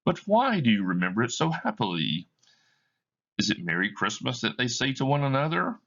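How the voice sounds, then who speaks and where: A man reading aloud as a gentle but insistent male spirit of the past, asking pointed questions. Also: medium pitch, sing-song, normal speed, gravelly, dull audio, full character voice. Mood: challenging.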